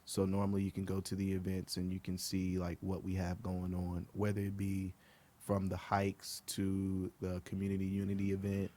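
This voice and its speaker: A man from Liberia, a guest on a podcast, talking in a relaxed, earnest way with many ums. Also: deep voice, normal speed. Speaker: guest, outdoor group co-founder. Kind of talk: casual podcast interview.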